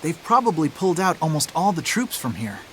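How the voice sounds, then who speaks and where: A man's voice: on the high side, sing-song, a little fast, slight background noise. A man voicing a sceptical, level-headed teenage boy in a video game, casual and reasoning. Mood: calm, sceptical.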